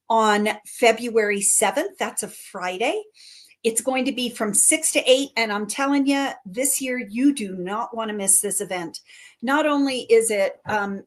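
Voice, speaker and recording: A middle-aged woman hosting a community chamber of commerce livestream, upbeat and promotional as she announces events and welcomes guests. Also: on the high side. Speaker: chamber of commerce director hosting a live show.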